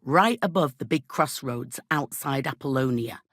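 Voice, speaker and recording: An actress playing a brusque, gossipy medieval innkeeper in a video game, speaking in earthy, colloquial rustic phrases with folksy idioms. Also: female voice, rumbling low, animated, moderate pace, a bit bright, husky, dry recording, clean studio sound, heavily acted. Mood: gossipy, confiding. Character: brusque, gossipy medieval village innkeeper woman, plain-spoken, superstitious. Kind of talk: video game character dialogue.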